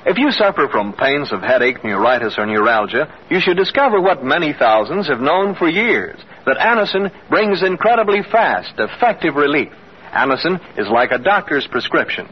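A man's voice: a little high, big pitch swings, moderate pace, a little hiss or hum. A man with an American accent delivering a scripted radio commercial as an announcer in 1940s style. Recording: muffled vintage radio broadcast.

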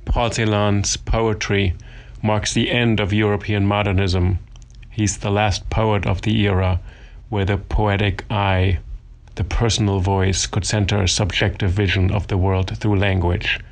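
Low-voiced German-native podcast host. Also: male voice, measured pace. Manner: thoughtful, earnest, lively when chatting. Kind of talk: interview and scripted intro.

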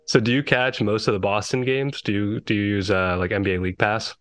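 A male podcast host with a fairly low voice, chatting easily with his guest. His sound is dry and close, with no room around it. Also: steady tone, a little fast, smooth, no room sound. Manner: easygoing, chatty questions. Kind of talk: casual interview chat.

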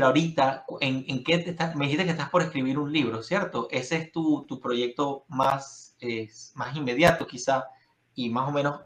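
A man's voice: mid-range voice, a bit bright, reverberant. A Venezuelan man hosting a podcast, chatting casually with a guest and rambling through restarts and fillers. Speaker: podcast host. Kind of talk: casual interview chat. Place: Florida.

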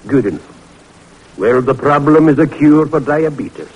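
An actor playing a wry, skeptical senior professor in an old-time radio drama, musing deliberately and teasing drily. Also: male voice, fairly high pitch, breathy edge, highs cut off. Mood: dry, teasing. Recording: vintage radio recording with hiss.

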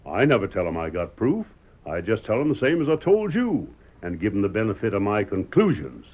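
A man's voice: on the low side, wildly swooping pitch, brisk. An actor playing a stubborn middle-aged frontier father in a radio western, with a wry, folksy Western American drawl. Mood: wry, defiant. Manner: folksy, sly, drawling needling. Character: stubborn, wry Old West father, sheriff candidate. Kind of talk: old-time radio western acting. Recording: dull, muffled old radio recording.

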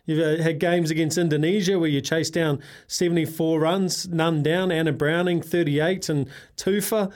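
A man's voice: a little high, fairly even intonation, moderate pace, darkish tone. Matey male sports radio co-host. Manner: matey, joking, calls guest mate.

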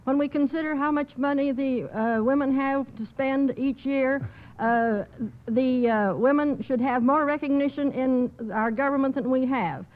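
A woman panelist on a radio quiz, speaking formally and haltingly as if making a political speech. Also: sits high. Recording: tinny old radio broadcast.

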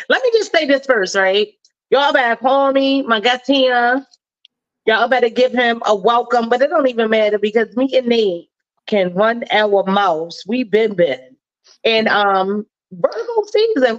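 A woman's voice: sits high, lo-fi sound. A woman hosting a live talk show, chatting in a casual, slangy and emphatic way, a little stuffed up. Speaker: host.

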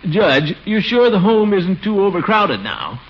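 An actor playing a pompous but soft-hearted middle-aged uncle who hems and chuckles, in 1940s radio comedy style. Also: male voice, sits high, sing-song, measured pace, exaggerated voice. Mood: reluctant. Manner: hesitant hemming, swooping chuckles. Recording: noisy, muffled old radio recording.

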